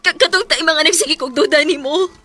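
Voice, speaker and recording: Very high-voiced remorseful Filipina. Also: female voice, a little fast, bright, forceful tone. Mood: tearful, pleading. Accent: Cebuano Filipino. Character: remorseful, pleading young woman confessing to her fiancé. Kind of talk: radio drama acting. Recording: music bed behind the voice.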